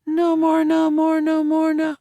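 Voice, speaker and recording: A man's voice: very high-pitched, level tone, slow, creaky, no room sound, studio quality, pitched above the performer's own voice, full character voice. A man voicing a frightened small boy under hypnosis, droning and pleading in repetition.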